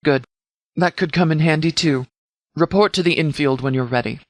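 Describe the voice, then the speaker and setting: A man voicing a stern, authoritative sheriff in a video game, speaking in a measured, commanding, formal way. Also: a little high, no echo, clean studio sound, lightly acted. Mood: calm, calculating. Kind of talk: scripted game dialogue.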